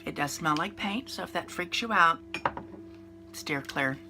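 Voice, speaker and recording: A woman's voice: fairly low pitch, measured pace, sharp tone, faint music behind. A woman in her fifties with a nasal, congested voice, chatting through a makeup routine in a rambling, casual way. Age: 50s. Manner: chatty, rambling, with fillers and restarts. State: congested from a cold. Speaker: beauty vlogger. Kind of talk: casual makeup tutorial chat.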